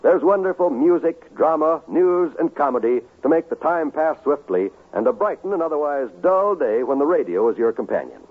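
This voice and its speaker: An American man announcing and reading a scripted sales pitch in 1950s radio style. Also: animated, moderate pace, hardly any pauses, slightly rough. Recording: muffled 1950s radio recording, faint music.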